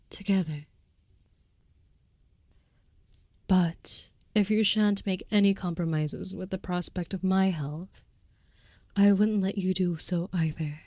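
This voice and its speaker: A woman's voice: lowish voice, mellow, no room sound, muffled recording. A woman voicing a devoted, overprotective female vampire in an intimate audio roleplay, with formal, old-fashioned, tender and earnest phrasing. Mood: tender, firm.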